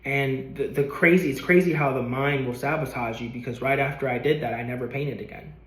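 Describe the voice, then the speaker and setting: A man's voice: medium pitch, fairly quick, fairly bright tone, roomy sound, rough recording. A man in his late twenties talking to a camera in a motivational vlog, earnest and rambling, swearing casually and repeating himself. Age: 20s. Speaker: vlogger.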